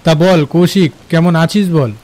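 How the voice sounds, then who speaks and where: Man playing friendly railway worker storyteller, West Bengal Bengali accent, fairly high pitch, soft dark timbre, animated tale. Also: moderate pace, slight background noise, lightly acted. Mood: hearty, welcoming. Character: friendly older-brother storyteller, a railway employee. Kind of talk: dramatized cartoon dialogue and storytelling. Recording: crickets and rain behind.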